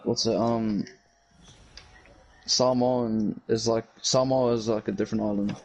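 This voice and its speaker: A man's voice: on the low side, steady tone, measured pace, darkish tone, poor audio. A man from New Zealand with a New Zealand accent, chatting hesitantly with a friend during a game. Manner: hesitant, full of ums and uhs. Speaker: gaming friend. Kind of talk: casual party chat.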